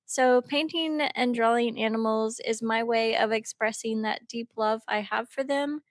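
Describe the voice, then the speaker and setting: A young woman who is a little sick, gently narrating her art process in a chatty, upbeat way. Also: fairly high pitch, measured pace, no echo, studio quality. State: slightly sick. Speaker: art vlogger. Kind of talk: relaxed art tutorial narration.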